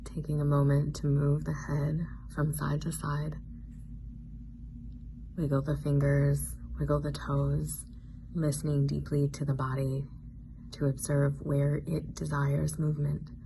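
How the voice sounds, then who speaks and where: A woman meditation guide giving gentle, soothing instruction in unhurried phrases. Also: deep voice. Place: studio on a major road. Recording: clear background noise with ambient sound.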